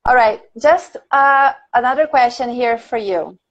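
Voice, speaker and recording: A woman's voice: a little high, unhurried, lo-fi sound. A woman from Brazil with a Brazilian accent, whose first language is Portuguese, leading a casual English conversation class on a livestream. She talks in a chatty way, laughs often and thinks aloud with fillers. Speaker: English teacher.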